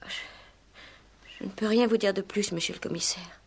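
Upset genteel lady, mid-pitched, nervous, defensive, hesitant, emphatic, vintage French radio drama. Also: steady tone, a little slow, vocal fry, husky. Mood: agitated. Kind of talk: 1960s French radio play acting.

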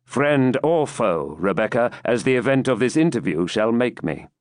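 A man's voice: medium pitch, big pitch swings, moderate pace, no room sound, studio quality, lightly acted. A man voicing a proud, brooding medieval knight in an audiobook, with grave, formal, archaic declamation. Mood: ominous. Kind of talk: dramatised audiobook reading.

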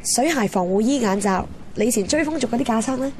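Actress as impulsive young Hong Kong woman, higher-pitched. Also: expressive, fast, darkish tone, a little hiss or hum, lightly acted. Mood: determined. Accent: Hong Kong Cantonese. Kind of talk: radio drama narration and dialogue. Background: music.

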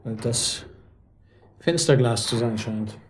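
An actor playing a dry, wry and sceptical police chief inspector in a German radio drama, with a hesitant manner. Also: male voice, medium pitch, slow pace, a little hiss or hum, close to an everyday voice. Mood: businesslike. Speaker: detective.

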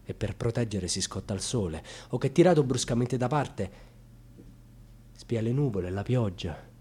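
A wounded, ironic storyteller with a husky, breathy male voice. He delivers a colloquial radio theatre monologue with dramatic intensity, shifting between hushed murmurs and louder, biting emphasis. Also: medium pitch, lively intonation, normal speed. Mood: sardonic, wistful. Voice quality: husky, often dropping into breathy whispers. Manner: dramatic, swinging from hushed murmurs to loud outbursts. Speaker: actor narrating a dramatic monologue.

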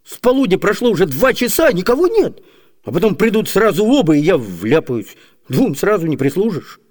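An actor playing a fretful, scheming comic manservant in a classic comedy radio play. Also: male voice, high voice, very animated, moderate pace, a little mellow. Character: scheming, comic male servant of two masters.

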